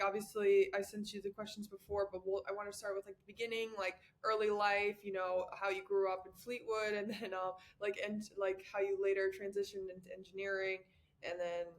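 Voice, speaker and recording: A woman's voice: mid-range voice, flat delivery, fairly quick. Chatty young woman interviewer. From New York. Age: 20s. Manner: chatty, heavy use of "like", laughs easily. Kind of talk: casual interview chat.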